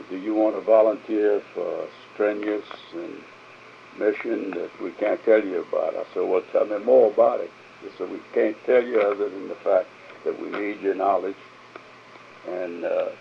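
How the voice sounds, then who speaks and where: Elderly male interviewee, very low gravelly voice, halting recollection, dull hissy field tape. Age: older. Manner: halting, with fillers and restarts. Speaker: interviewee, veteran. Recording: muffled field recording with hiss.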